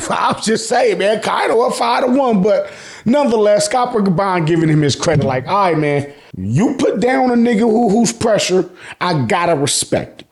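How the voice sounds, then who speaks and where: American guy doing a jokey manga recap, high voice that jumps all over, lots of slang n cussing. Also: moderate pace. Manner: slangy, profane, joking, animated. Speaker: host of an anime and manga review channel. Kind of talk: casual recap commentary with acted-out dialogue.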